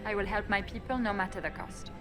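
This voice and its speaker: An actress playing an elven spymaster in a video game, guarded and coolly defiant, speaking in a measured, dry way. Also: female voice, mid-range voice, close to an everyday voice. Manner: measured, matter-of-fact, dry. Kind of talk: video game dialogue acting. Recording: music behind the voice. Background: game music.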